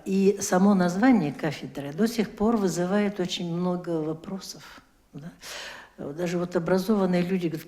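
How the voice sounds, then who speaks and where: A woman's voice: deep voice, a little mellow. A woman university lecturer speaking at a round-table discussion, explaining her points and asking rhetorical questions. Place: media center.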